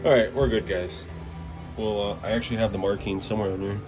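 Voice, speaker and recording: A man's voice: on the low side, steady tone, quick pace, over music. A man chatting casually and offhand to viewers while he works on a vehicle, hesitant and thinking aloud. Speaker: amateur car tinkerer filming a build vlog. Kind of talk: casual unscripted DIY vlog chat. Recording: clear background car and ventilation noise.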